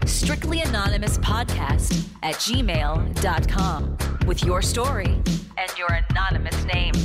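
A woman reading a scripted show promo in a punchy, dramatic announcer style. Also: mid-range voice. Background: loud music bed.

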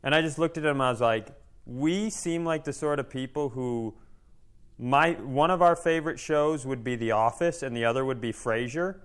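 A man with a clear, smooth voice at a middle pitch, co-hosting a comedy podcast. He talks casually, swears freely and likes to needle the people he is talking with. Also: moderate pace. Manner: profane, teasing. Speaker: podcast co-host.

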